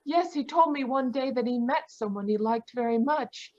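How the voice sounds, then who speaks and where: A high, feminine voice plays the bereaved daughter of an old radio mystery as acted by a woman. She is polite and distraught, in the melodramatic manner of vintage radio. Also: moderate pace, a little mellow, muffled recording. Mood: subdued, explaining. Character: distraught, polite grown daughter of murdered man. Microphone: video call.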